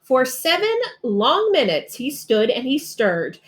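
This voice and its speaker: A woman narrating a rhyming children's storybook aloud in an expressive, dramatic way. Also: high-pitched, slow. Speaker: storybook reader.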